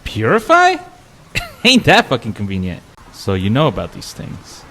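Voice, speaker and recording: A man's voice: medium pitch, wildly swooping pitch, a little slow, a bit bright, full character voice. A man playing a suspicious, foul-mouthed city protector in an audio drama, confrontational and sneering. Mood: hostile, threatening. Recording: clear background noise, ambience.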